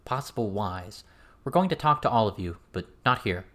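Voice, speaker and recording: A man's voice: lowish voice, animated, normal speed, sharp tone. A man voicing a serious, blunt teenage girl with a firm, questioning manner in an audiobook. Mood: firm, businesslike.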